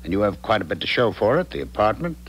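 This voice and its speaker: An actor playing a smug, callous married philanderer in a 1970s radio drama, patronizing and cajoling. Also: male voice, on the low side, big pitch swings, fast, breathy edge, muffled recording. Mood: smug, condescending. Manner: patronizing, cajoling, hesitant when cornered.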